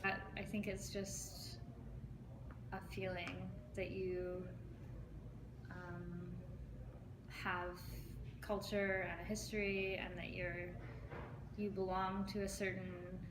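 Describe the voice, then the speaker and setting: A Canadian woman in her twenties, a university student, answering interview questions slowly and softly. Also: lowish voice, level tone, reverberant, noisy background. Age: 20s.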